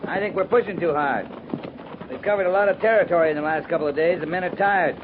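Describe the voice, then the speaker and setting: An actor playing a steady, reserved frontier cavalry captain in a 1950s radio western, speaking in a measured way. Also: male voice, high-pitched, background noise, close to an everyday voice. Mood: steady, weary. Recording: tinny 1950s radio recording, busy background.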